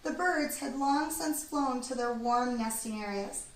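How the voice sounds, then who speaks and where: Female storyteller, children's book, expressive and deliberate, high-pitched, roomy, distant from the mic. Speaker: read-aloud storyteller. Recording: echoey room, distant mic, background noise.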